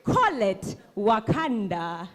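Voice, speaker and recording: A woman performing spoken word on stage, declaiming with passion, dramatic pauses and repetition. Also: medium pitch, sing-song, slight background noise.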